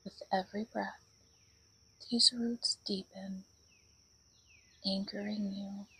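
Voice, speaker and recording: Slow, breathy woman guiding meditation. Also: mid-range voice, little pitch movement, pushed and bright, poor audio. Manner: soothing, breathy, often drops toward a whisper. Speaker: meditation guide. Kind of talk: guided meditation.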